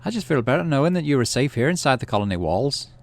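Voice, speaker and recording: A man reading a game's dialogue aloud and voicing a gentle, anxious, protective father. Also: medium pitch, very animated, fast talker, voice pushed up. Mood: worried. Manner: pleading, soft.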